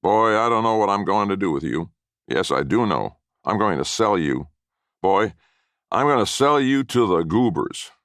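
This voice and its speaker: A man's voice: fairly low pitch, lively intonation, normal speed, fairly bright tone, no room sound, studio quality, voice pushed up. A man voicing a mean, blustering old grandfather with folksy rural speech in a story reading. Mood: menacing.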